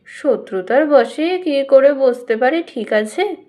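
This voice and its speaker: A woman's voice: sits high, lively intonation, slow pace, a little mellow. A woman reader playing a meddlesome neighbour in a storybook, confiding and insinuating like a gossip. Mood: hushed, insinuating.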